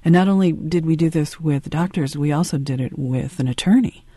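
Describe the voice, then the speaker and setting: A man hosts a call-in radio show in a dry studio. His voice is mellow and a bit high, and he speaks haltingly, repeating words. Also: no echo. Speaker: radio host.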